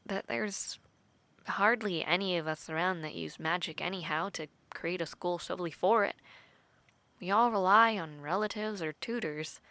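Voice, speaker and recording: A woman voicing an earnest, affectionate young knight trainee in an intimate audio roleplay, talking softly, often half-whispered, with light laughs and hesitant restarts. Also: fairly low pitch, normal speed, dry recording. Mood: warm, reflective.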